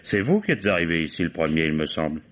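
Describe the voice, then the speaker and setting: An actor playing a wily, genial police commissioner in an old French radio mystery, drawling falsely casual questions and musing asides. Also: male voice, very deep voice, big pitch swings, fast, fairly bright tone, full character voice. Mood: sly, probing. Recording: dull old radio drama recording.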